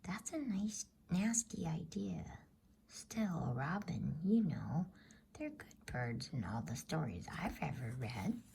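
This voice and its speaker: A woman voicing a fair-minded older boy in a breathy whisper for a storybook reading. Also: sits low, big pitch swings, takes it slowly, dark tone, a little hiss or hum, exaggerated voice. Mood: reasonable.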